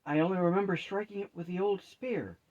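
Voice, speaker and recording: A man's voice: high voice, brisk, darkish tone, lo-fi sound, pitched above the performer's own voice. A man voicing an earnest, dazed woman warrior in a storybook, with a questioning manner.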